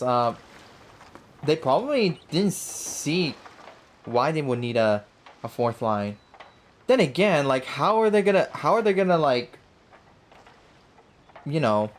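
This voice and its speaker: A woman giving casual, reactive gameplay commentary as a video host, thinking aloud in a halting, repetitive way. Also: sits low. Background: game music.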